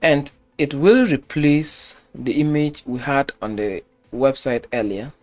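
Man, tutorial narrator, unhurried delivery, faint music underneath, methodical and repetitive. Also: medium pitch. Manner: slow step-by-step instruction, repeats phrases. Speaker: web design tutor. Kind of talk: screen-recorded software tutorial.

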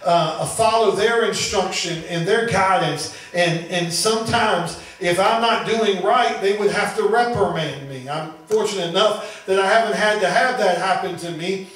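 A man's voice: high-pitched, normal speed, few pauses, a bit bright. A middle-aged man preaching as a pastor, teaching the Bible earnestly and often repeating and rephrasing his phrases. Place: small church room, indoors. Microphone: handheld microphone at a lectern. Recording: very echoey room, distant sound.

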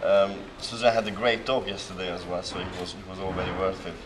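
A man's voice: deep voice, fairly even intonation, fast, noisy background. A man from Slovakia, a native Hungarian speaker, talking hesitantly with many fillers at a conference. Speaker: conference attendee. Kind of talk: casual conference testimonial. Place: large hotel hall at a conference. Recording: crowd chatter behind.

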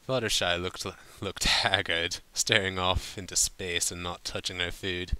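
A man's voice: low-pitched, steady tone, unhurried, bright, forceful tone, clean tone, no room sound. A man reading a story aloud informally, sometimes stumbling over his words and breaking off to comment. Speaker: fan fiction reader.

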